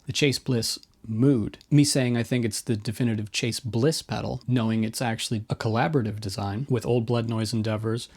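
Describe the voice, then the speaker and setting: A man's voice: mid-range voice, a little mellow. A man hosting a guitar gear video, talking through things in a casual, informal explainer style with opinionated, wryly self-deprecating asides. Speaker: host of a guitar effects video.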